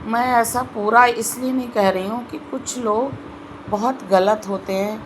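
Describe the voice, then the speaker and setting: A woman with a sore throat giving a slow, earnest devotional talk in an online video. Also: fairly high pitch, a little hiss or hum, poor audio. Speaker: spiritual video host.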